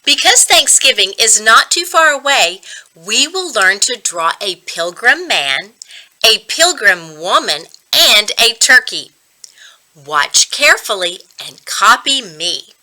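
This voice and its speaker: A woman reading a storybook as an accommodating art teacher who explains things to children in a measured way. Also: on the high side, big pitch swings, bright, forceful tone, heavily acted, rough recording. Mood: instructing.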